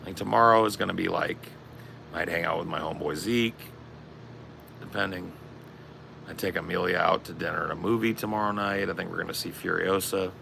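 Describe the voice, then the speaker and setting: A man with a strained, raspy voice chatting casually on a stream, rambling and swearing a lot. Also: deep voice, brisk, background noise. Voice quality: gravelly. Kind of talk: casual chat.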